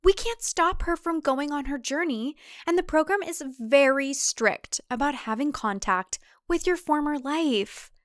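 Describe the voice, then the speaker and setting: A woman's voice: high voice, expressive, unhurried, few pauses, no echo, studio quality, voice pushed up. A woman voicing a sweet, submissive, eerily cheerful young farm wife in audio fiction, with a chirpy, placating, singsong delivery. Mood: placating, firm. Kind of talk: audio fiction character dialogue.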